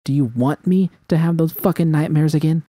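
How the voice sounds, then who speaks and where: A man's voice: a little high, brisk, mellow, no room sound, studio quality, voice pushed up, lightly acted. A man voicing a combative, foul-mouthed young woman mercenary, emphatic and wounded, in dramatic audio fiction. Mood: furious, defensive.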